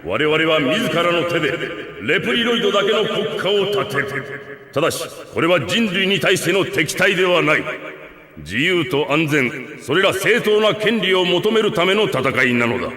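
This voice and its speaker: A noble, unbending robot general from a game cartoon. His forceful voice sits fairly high and declaims gravely. It rings in a long, echoing reverb. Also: animated, normal speed. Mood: solemn, resolute. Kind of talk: animated game cutscene acting.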